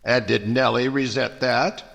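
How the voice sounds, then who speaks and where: A man playing a stern, pointed small-town prosecutor, speaking formally and deliberately in an old-time radio drama. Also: medium pitch, steady tone, gravelly, a bit bright, in a large hall. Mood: probing.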